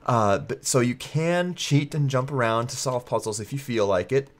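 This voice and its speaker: A man's voice: mid-range voice, normal speed. A man with a stuffy, congested nose hosting a gaming video, explaining things in a casual, conversational walkthrough style. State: congested with a cold. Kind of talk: casual game walkthrough commentary.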